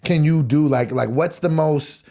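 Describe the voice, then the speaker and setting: A man's voice: on the high side, a little slow, warm tone. A man in his forties hosting a live-streamed talk show, laid-back and chatty, asking long rambling questions and saying "gotcha" a lot. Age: 40s. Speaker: host. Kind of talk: casual interview chat. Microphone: live stream call.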